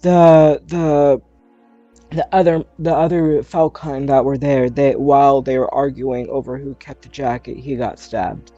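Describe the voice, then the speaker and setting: Guy doing a teen girl defendant, slow n stammery, mellow voice, wounded, tabletop game, music behind. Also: mid-range voice, fairly even intonation, dry recording, highs cut off, voice pushed down, close to an everyday voice. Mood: defensive, nervous. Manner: hesitant, stammering, restarting. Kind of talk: improvised tabletop roleplay.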